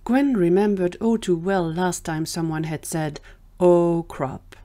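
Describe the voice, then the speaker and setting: Lowish-voiced woman narrating a story. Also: normal speed, warm tone. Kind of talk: scripted audio drama narration.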